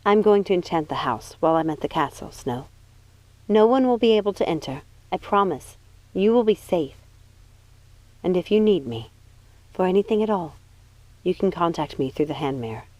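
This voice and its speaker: A woman's voice: lowish voice, animated, normal speed, pauses often, a little mellow, no room sound, lightly acted, rough recording. A woman voicing a worried, protective young witch in an audiobook, speaking earnestly and with care. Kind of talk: audiobook character voice.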